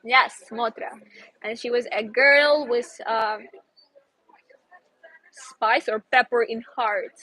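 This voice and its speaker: A woman's voice: on the high side, slow, pauses often, sharp tone, no echo, poor audio. A young woman with a Ukrainian accent hosting a casual outdoor live stream, chatty and upbeat, sometimes searching for English words. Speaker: host, English teacher. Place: outdoors in a city square.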